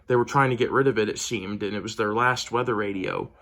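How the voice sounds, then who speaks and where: Casual, low-key American man showing a collection. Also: quick pace, a bit bright. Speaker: hobbyist vlogger showing a collection. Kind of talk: casual show-and-tell.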